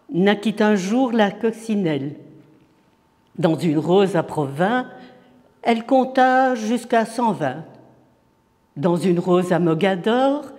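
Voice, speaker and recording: A man with a high voice recites poetry dramatically. He goes very slowly, setting each phrase apart with deliberate pauses. The sound carries a long, hall-like reverberation. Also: darkish tone.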